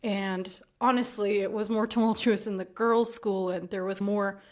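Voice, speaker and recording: A woman's voice: mid-range voice, fairly even intonation, normal speed. Reflective woman, laughing as she talks. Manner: warm, reflective, laughs often. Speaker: podcast guest, school principal. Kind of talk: casual podcast conversation.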